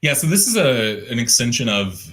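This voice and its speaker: A young adult man with a deep, resonant voice, talking casually and thoughtfully over a video call, with fillers and restarts. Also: big pitch swings, normal speed, fairly bright tone. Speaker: artist guest. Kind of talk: casual conversational interview.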